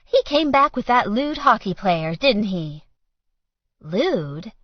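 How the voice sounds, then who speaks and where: A woman's voice: on the high side, sing-song, takes it slowly, no room sound, lo-fi sound, pitched above the performer's own voice, full character voice. A woman voicing a prim, nosy old small-town lady with an indignant manner in an audiobook. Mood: nosy, disapproving.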